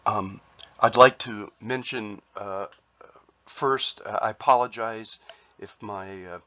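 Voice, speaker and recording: A man with a cold, sounding congested, presenting his artwork in a halting way with many fillers and restarts. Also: lowish voice, fairly even intonation, sharp tone, muffled recording. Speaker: artist sharing his work. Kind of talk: casual spoken presentation.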